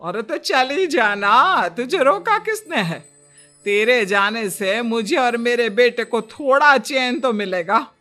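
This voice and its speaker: Woman doing a sharp, scolding older mother-in-law. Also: on the high side, expressive, slow, faint music behind, full character voice. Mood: scolding, aggrieved. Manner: taunting, grumbling. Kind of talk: moral cartoon story dialogue.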